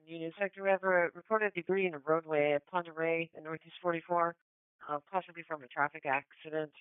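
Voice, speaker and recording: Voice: mid-range voice, fairly even intonation, fast, clear, resonant tone, dry recording. An American police dispatcher relaying procedural traffic over a two-way radio in a clipped, businesslike way. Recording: thin phone-like police scanner radio.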